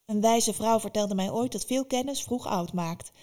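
A woman voicing a polite, timid young girl in a spoken fairy tale, soft and hesitant. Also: mid-range voice, normal speed, close to an everyday voice. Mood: calm.

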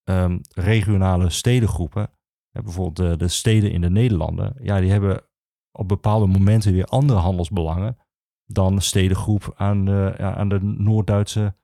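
A man the explains history informally as an expert guest, halting and repeating himself as he searches for words. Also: low voice, fairly even intonation, creaky, dark tone, no room sound, studio quality. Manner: hesitant, halting, with many pauses and repetitions. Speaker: archivist, podcast guest.

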